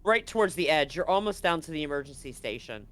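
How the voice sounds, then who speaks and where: A man's voice: a little high, lively intonation, fast, a bit bright. A man speaking casually and with some swearing as game master of a tabletop role-playing game. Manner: casual, profane.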